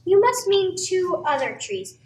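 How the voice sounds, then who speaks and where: A woman plays a snippy, indignant townsperson in an amateur radio play. The voice is extremely high and comes from away from the mic in an echoey room. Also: sing-song, unhurried, a little mellow, full character voice. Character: indignant, snippy townsperson, proud tree owner. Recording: echoey, distant mic, faint noise.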